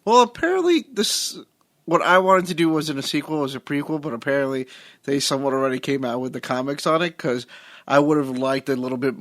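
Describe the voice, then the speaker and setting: A man's voice: on the high side, fairly quick, a bit bright, dry recording, studio quality. A man co-hosting a casual movie podcast, agreeable and musing. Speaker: podcast co-host.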